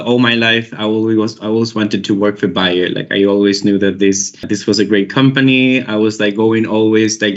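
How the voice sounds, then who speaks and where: Costa Rican man rambling on a call. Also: fairly low pitch. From Costa Rica. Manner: rambling, many restarts and "like"s. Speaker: recruiter. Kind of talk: casual conversation on a video call. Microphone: video call. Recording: dull lo-fi call audio.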